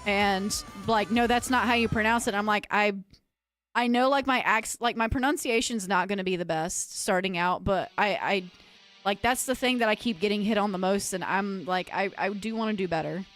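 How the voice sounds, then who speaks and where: A woman's voice: medium pitch, normal speed, a bit bright. Rambling woman streamer, faint music. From United States. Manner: rambling, restarts often, earnest and self-conscious. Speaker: livestreamer and metal vocalist. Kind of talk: casual chat with livestream viewers.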